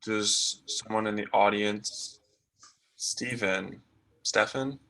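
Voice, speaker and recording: A man's voice: on the low side, slow, sharp tone, poor audio. A man moderating a Q&A, thanking the speaker and calling on the next questioner. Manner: courteous, calls on audience members. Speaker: event moderator.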